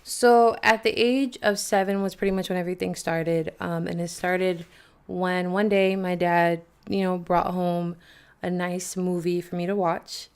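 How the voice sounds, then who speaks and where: Chatty young woman with a smooth voice. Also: on the low side, level tone, a little slow. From Boston, USA. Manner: chatty and rambling, often saying "like" and laughing. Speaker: guest singer. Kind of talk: casual interview.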